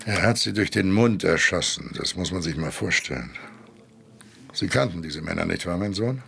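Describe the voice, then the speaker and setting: An actor playing a stern, vengeful, paternal sheriff, grave and authoritative, in a German radio drama. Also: male voice, low-pitched, animated, moderate pace, vocal fry, pushed and bright, slight background noise, full character voice. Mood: grim, commanding.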